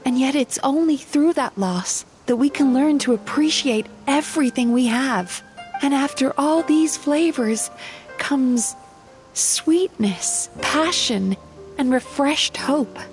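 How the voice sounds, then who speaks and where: Gentle young dream psychologist. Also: female voice, high-pitched, animated, slow, soft background music. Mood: tender. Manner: soft, reflective, earnest. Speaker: game character. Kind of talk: video game voice acting.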